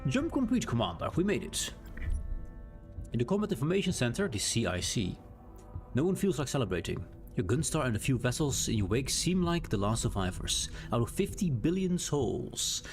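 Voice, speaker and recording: A Dutch man with a Dutch accent, talking through a game on a live stream, reading on-screen text aloud and thinking out loud with small restarts. His pitch swings up and down in a lively way, and clear game music plays behind his voice. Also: moderate pace. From Netherlands. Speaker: gaming streamer. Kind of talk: live gameplay commentary.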